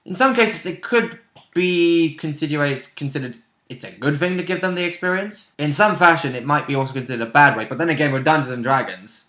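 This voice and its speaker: Male tabletop gamer, informal chat, bright forward voice, roomy echo. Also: a little high, fairly quick. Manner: informal, conversational. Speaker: tabletop role-playing player. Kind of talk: casual chat. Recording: roomy, echoey room sound.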